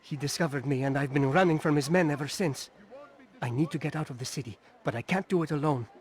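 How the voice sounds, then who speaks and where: An actor playing an anxious assassin spy on the run in a video game, urgent and pleading. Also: male voice, fairly high pitch, fast talker, close to an everyday voice.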